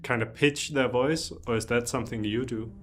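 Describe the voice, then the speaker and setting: A man's voice: mid-range voice, steady tone, normal speed, a bit bright, slight background noise. A man hosting, casually interviewing a guest and asking easygoing questions while they play a game together. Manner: easygoing, laughs along, asks questions. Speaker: host.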